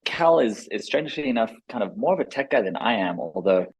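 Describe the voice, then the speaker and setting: Deep-voiced husky man in his forties. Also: very animated, brisk, poor audio. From born in Israel, grew up in South Africa. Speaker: company co-founder and CEO, guest. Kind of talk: conversational podcast interview.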